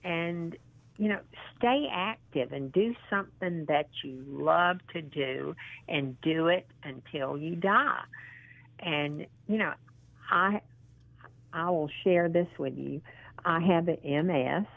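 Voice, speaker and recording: A woman in her sixties being interviewed as a guest, speaking in an earnest, encouraging way and often pausing with ums and restarts. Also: low voice, gravelly, no room sound. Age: 60s. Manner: halting, with frequent ums and restarts. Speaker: interview guest, model and actress. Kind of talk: casual phone interview. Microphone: phone. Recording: tinny phone line.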